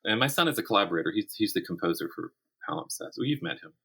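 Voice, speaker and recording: A man's voice: fairly low pitch, sing-song, rapid-fire, gravelly, sharp tone, breathy edge. A middle-aged man speaking as an interview guest, a writer talking thoughtfully and conversationally, often restarting his phrases. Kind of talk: conversational interview about writing craft.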